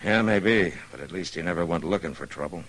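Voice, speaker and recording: An American man playing a gruff, steady middle-aged western marshal in a radio drama, talking in a dry, wry way. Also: low voice, a little fast. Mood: firm. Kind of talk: old-time radio western acting. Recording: noisy vintage radio recording.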